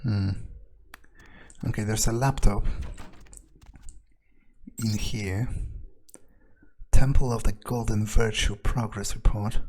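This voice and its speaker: Slow, low-voiced man musing aloud. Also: steady tone, darkish tone. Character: vampire agent, profane and wary, thinking aloud. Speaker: let's player speaking in character. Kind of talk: in-character game playthrough, musing aloud.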